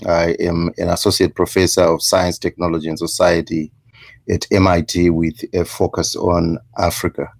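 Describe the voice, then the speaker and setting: Very deep-voiced guest, dry sound. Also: male voice, flat delivery, creaky, no echo. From Zimbabwe. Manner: measured, hesitant with many uhs. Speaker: guest, professor. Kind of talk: interview answers.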